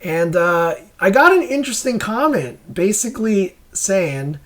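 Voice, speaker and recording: A man's voice: sits high, very animated, measured pace, a bit bright. A man giving casual, rambling creator commentary in a hesitant, self-questioning way. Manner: hesitant, self-questioning, chuckles while explaining. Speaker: comic creator and host.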